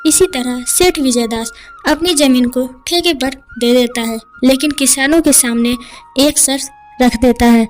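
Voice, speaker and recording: A woman tells a scripted village moral tale as narrator. Her voice is high-pitched, and light music plays behind it. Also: measured pace. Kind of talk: scripted children's moral story narration in Hindi.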